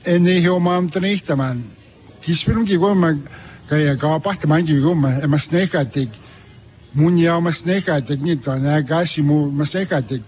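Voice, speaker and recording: A man whose first language is Cree, being interviewed as an author, speaking haltingly with many restarts. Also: a little high, a little mellow. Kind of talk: casual interview talk.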